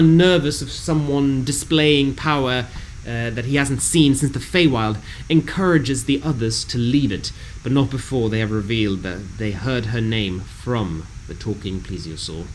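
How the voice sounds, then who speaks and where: A man reading a scripted fantasy game recap in a dramatic, measured storytelling delivery. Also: mid-range voice, slight background noise, poor audio.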